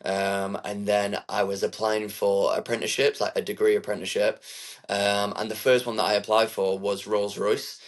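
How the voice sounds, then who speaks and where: A young man, answering interview questions in an easygoing, rambling way. His voice sits low and carries a gravelly fry. He leans on fillers and restarts as he talks. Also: level tone, moderate pace. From England. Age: 20s. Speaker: techno DJ, interview guest. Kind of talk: casual interview chat.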